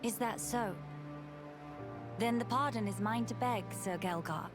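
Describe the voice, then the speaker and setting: A proud, composed young empress from a fantasy game, acted by a woman. She speaks slowly and formally in a mid-range voice, stern and regal, over loud music. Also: on the high side, natural voice. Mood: stern, imperious. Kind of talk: video game voice acting.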